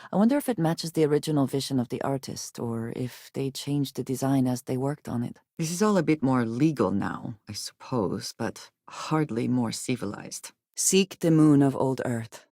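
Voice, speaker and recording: Casting: an earnest, guarded female space-game companion; precise, formal delivery, measured phrasing, dry studio-close sound. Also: extremely low pitch, mellow, no echo. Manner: formal, measured, precise diction with few contractions. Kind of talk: video game character voice lines.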